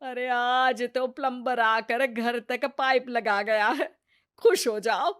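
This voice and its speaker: A woman's voice: fairly high pitch, slow, fairly bright tone. A woman voicing a self-important, boastful village woman in a cartoon story. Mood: smug.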